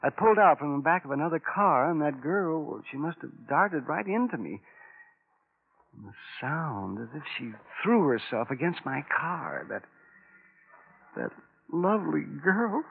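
Casting: a broken, weeping male driver in vintage radio drama, high and husky, halting delivery. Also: heavily acted. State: crying. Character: distraught man who ran down a young woman. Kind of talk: old-time radio drama acting. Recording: narrow, muffled old radio recording.

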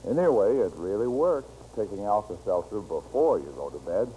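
An actor playing a drowsy, rueful husband after a night of overindulging, in an old-time radio commercial. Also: male voice, lowish voice, expressive, moderate pace, mellow, close to an everyday voice. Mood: rueful, satisfied. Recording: faintly noisy vintage radio recording.

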